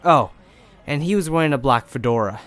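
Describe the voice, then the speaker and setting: A man's voice: medium pitch, animated, fairly quick, fairly bright tone, close to an everyday voice. A man playing a dutiful, respectful junior police officer in an audio crime drama.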